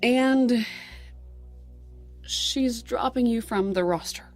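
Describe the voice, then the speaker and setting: A woman's voice: fairly high pitch, slow, faint music behind. An American actress playing an anxious, protective mother in an audio drama, speaking fretfully and emphatically in a naturalistic style. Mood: hesitant, apologetic. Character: anxious, protective, indignant mother of a teenage daughter.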